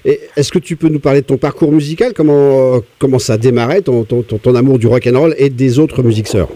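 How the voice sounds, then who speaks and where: A man's voice: medium pitch, brisk, dark tone, no echo. A man hosting a conversational radio interview, often repeating words as he builds his questions. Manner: hesitant, repeats words while forming questions. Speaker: radio host interviewing a musician.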